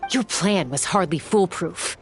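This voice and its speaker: An actress playing a tough, principled young heroine, blunt and confrontational, in a dramatic video game style. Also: female voice, lowish voice, a little slow, slight background noise. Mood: cold, accusing.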